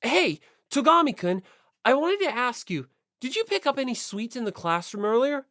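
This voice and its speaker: An audiobook reader voicing an earnest, polite high school boy who speaks up a little tentatively. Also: sing-song, moderate pace, a bit bright, no echo, studio quality, exaggerated voice. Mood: earnest inquiry. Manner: eager, tentative.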